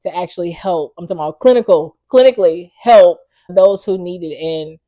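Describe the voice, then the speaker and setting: A woman's voice: on the low side, a little fast, dark tone, dry recording. Upbeat lady host, cheers her guest on, dry close sound. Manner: enthusiastic, encouraging. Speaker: podcast host. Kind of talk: casual faith podcast chat.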